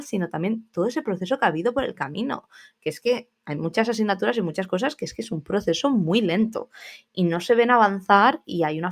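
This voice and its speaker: A woman in her thirties from Spain, a teacher hosting a casual solo podcast, chatty and familiar, talking straight to her listeners with asides. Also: medium pitch.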